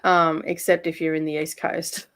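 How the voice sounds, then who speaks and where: A woman's voice: sits low, flat delivery, normal speed, a bit bright. A woman with a Michigan accent, hosting a reading livestream and chatting casually with viewers. She laughs often and uses a lot of fillers. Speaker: livestream host.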